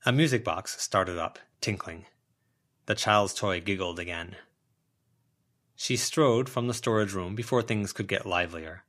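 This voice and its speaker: A man's voice: low voice, measured pace, a bit bright. A man narrating an audiobook, reading scripted fiction.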